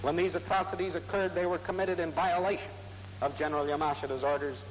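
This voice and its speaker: A man's voice: on the high side, steady tone, fast talker. A man makes a formal argument as defense counsel in a courtroom, heard on a 1940s archive recording. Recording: reverberant, slightly noisy 1940s courtroom recording.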